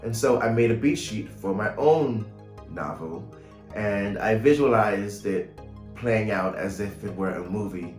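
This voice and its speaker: Male vlogger, thirties, lowish voice, unhurried and measured, casual delivery, echoey home room. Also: a little mellow. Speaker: writer and vlogger. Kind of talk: casual video vlog to camera. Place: small home room, indoors. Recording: roomy echo, light music behind.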